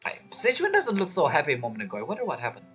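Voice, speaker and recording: A woman with a fairly low, lively voice reacts to a game as she plays. She is casual and playful, teasing the characters and talking back to them. Soft game music sits behind her. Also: very fast. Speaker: gaming streamer. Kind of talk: casual playthrough reaction commentary.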